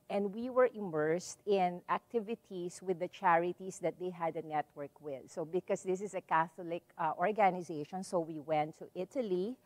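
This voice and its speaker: A middle-aged woman from the Philippines with a Filipino accent, giving a public lecture in a library hall and telling her story in a measured way with many "so"s and "um"s. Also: lowish voice, darkish tone. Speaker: visiting lecturer. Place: library hall with a seated audience.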